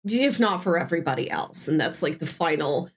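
A woman co-hosting a podcast, talking casually about a film and retelling it in an animated way. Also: deep voice, a little fast. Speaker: podcast co-host discussing a film. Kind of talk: casual chat, film discussion. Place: recorded in person with co-host.

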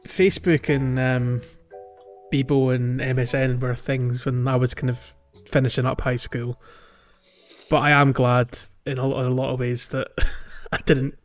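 A man's voice: medium pitch, level tone, unhurried, dull audio, faint music behind. Casual male podcast host, chatting easily. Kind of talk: casual playthrough chat.

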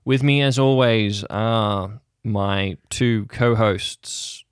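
A man's voice: fairly low pitch, drawn-out words, clear, resonant tone. A man hosting a show in casual, unscripted banter, with a teasing, mock-indignant manner. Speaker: podcast host.

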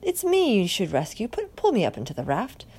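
Lady doing a bossy blustery circus owner guy from a kids story, barks orders, pitch all over. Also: huge pitch swings, a little fast, a little mellow, breathy edge, exaggerated voice. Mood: indignant, barking. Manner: demanding, barking.

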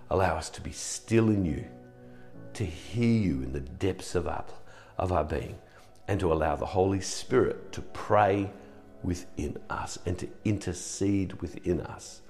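Croaky, deep-voiced man giving a devotion. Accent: Australian. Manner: gentle, repetitive, earnest. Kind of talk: short devotional reflection and prayer.

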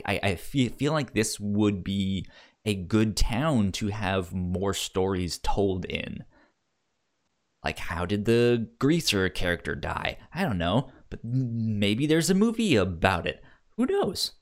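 A man co-hosting a podcast in casual chat, talking haltingly with frequent stutters and repeated syllables. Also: lowish voice. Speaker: podcast co-host discussing a film.

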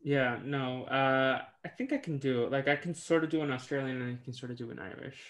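A man's voice: medium pitch, fairly quick. A young man co-hosting a podcast in casual chat. He talks hesitantly with many fillers and trails off. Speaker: podcast co-host.

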